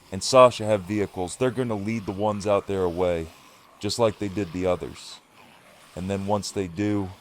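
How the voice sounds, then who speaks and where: A man's voice: fairly low pitch, a little mellow, lightly acted. A man playing a steely, commanding leader of apocalypse survivors, firm and rallying, in an audio drama. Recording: clear background noise.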